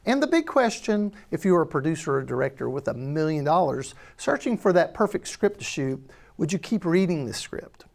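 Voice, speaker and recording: A woman's voice: sits low, a little mellow. A woman presenting a screenplay table read with a scripted introduction, introducing cast and questions.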